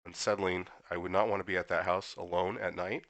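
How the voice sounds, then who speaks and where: A hoarse man streaming a horror visual novel, reading the dialogue aloud and adding sarcastic comments, sometimes jumping into high-pitched disbelief. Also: flat delivery, fairly quick, a bit bright, dull audio. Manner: casual, sarcastic commentary with sudden high-pitched incredulous outbursts. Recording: music playing behind the voice.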